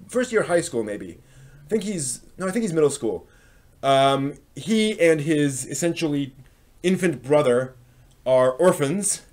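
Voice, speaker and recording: A man hosting a live stream, giving unscripted, casual review commentary in a halting, explanatory way with frequent pauses and rephrasing. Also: medium pitch, a little mellow.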